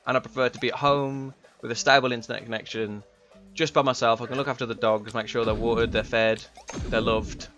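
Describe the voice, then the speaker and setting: A young man with a slightly raspy voice, chatting in a rambling, laid-back way while streaming a game. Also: mid-range voice, little pitch movement, fairly quick, a bit bright, lo-fi sound. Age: young adult. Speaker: gaming streamer. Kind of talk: casual chat while playing. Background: game music.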